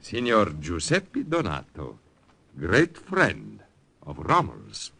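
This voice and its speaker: An actor playing a jovial, hospitable older Italian count with an Italian accent, expansive and hesitant, slipping into Italian phrases, in 1940s radio drama. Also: male voice, fairly low pitch, huge pitch swings, slow, creaky, bright, forceful tone, breathy edge, exaggerated voice. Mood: genial.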